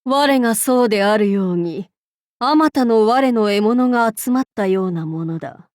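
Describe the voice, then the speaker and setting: A woman's voice: a little high, animated, normal speed, no echo, clean studio sound, lightly acted. A woman voicing a haughty female demon-king warlord in a video game, speaking in an archaic, lordly and commanding way with amused authority. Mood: grave, musing. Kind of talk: Japanese game character voice lines.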